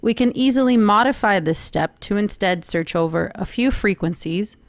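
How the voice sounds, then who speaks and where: A woman narrating a scripted technical seminar in a measured, formal explaining manner. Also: mid-range voice, dry recording. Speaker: presenter of a technical training seminar.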